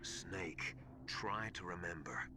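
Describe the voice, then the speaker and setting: Shadowy game advisor, deliberate and confidential, lively rise and fall, over distinct background noise. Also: male voice, sits low. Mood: grave, insinuating. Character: secretive male military advisor sharing grave suspicions. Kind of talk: video game voice acting.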